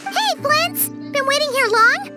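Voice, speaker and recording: A woman voicing a small, excitable, childlike fairy companion in a video game. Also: very high-pitched, slow, heavily acted. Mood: chatty. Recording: loud music underneath.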